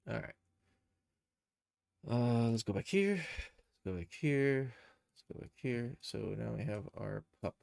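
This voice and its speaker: A man giving an informal live software tutorial, thinking aloud and muttering steps to himself as he works. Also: medium pitch, lively intonation, slow, vocal fry, long pauses, no room sound, studio quality. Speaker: live streamer, game and cartoon developer.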